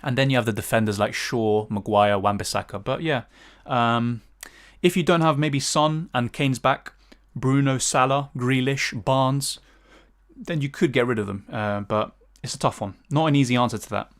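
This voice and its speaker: A man's voice: mid-range voice, normal speed. A man with a British accent, chatting casually on a livestream and answering viewer questions with lots of fillers. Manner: chatty, thinks aloud with many fillers and restarts. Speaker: fantasy football streamer.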